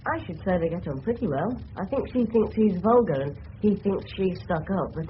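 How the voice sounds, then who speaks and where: Actor as polite English schoolboy, dull old recording. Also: female voice, fairly low pitch, expressive, moderate pace, lightly acted. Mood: polite, careful. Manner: respectful, earnest, candid. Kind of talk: 1970s radio drama acting. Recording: dull, noisy old radio broadcast recording.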